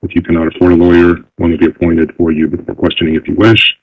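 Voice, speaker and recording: A man working as a federal agent, questioning a suspect in a calm, professional, procedural way, in a muffled lo-fi interview recording. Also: low voice, quick pace, slightly rough. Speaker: FBI agent. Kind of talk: formal interrogation.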